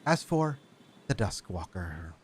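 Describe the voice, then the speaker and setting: A man voicing a suave, condescending male vampire socialite in his forties, who speaks smoothly and without hurry. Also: low voice, sing-song, slow pace, slight background noise, pitched below the performer's own voice, full character voice. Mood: courtly.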